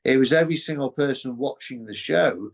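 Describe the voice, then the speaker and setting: Earnest, halting male interviewer. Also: mid-range voice, creaky. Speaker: interviewer, show host. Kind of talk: casual fan interview.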